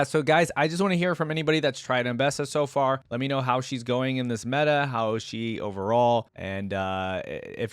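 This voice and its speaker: A man's voice: medium pitch, no echo. A man hosting a reaction video, giving informal, chatty commentary and thinking aloud as he goes. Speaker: YouTube reaction host.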